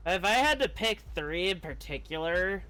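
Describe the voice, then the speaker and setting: Casting: male streamer, fairly high clean voice, casual rambling chat over faint music. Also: expressive, normal speed, sharp tone, rough recording. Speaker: livestreamer. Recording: game music behind the voice. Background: video game music.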